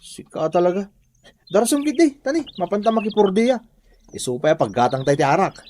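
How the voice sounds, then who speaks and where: Tipsy Ilocano drinking pal for radio comedy: grumbling, apologetic, very animated delivery. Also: male voice, sits high, fairly quick, slight background noise. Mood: grumbling banter.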